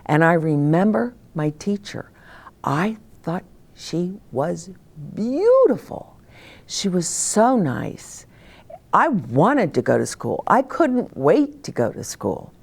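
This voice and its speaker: A woman's voice: on the low side, creaky, breathy edge. An older woman hosting a children's story time, speaking simply and expressively to young children as she shares stories and advice. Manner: simple, expressive, addressing children directly, with dramatic pauses.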